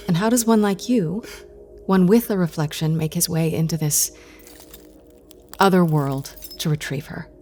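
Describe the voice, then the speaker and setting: Woman acting expressive therapist, faint music behind. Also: lowish voice, natural voice. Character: earnest, strong-willed female therapist. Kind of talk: audio drama acting.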